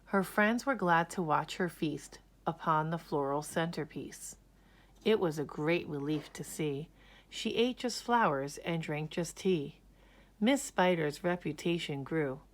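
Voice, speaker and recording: A woman reading a children's story aloud in a measured, expressive storytelling manner. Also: sits low. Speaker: picture book reader.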